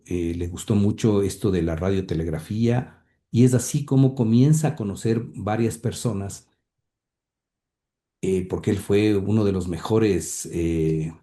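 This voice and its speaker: A man's voice: lowish voice, mellow. A middle-aged man from Ecuador, recounting his family's history in an interview, hesitant with many fillers and restarts. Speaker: interview guest, grandson of the subject.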